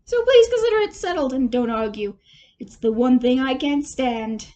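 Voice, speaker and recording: Woman acting storybook toad gentleman, high-pitched, boastful, wheedling, theatrical, wildly varied intonation. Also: lively intonation, normal speed, lo-fi sound. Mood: imploring, bossy. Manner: imploring, theatrical, gushing. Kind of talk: storybook character voice.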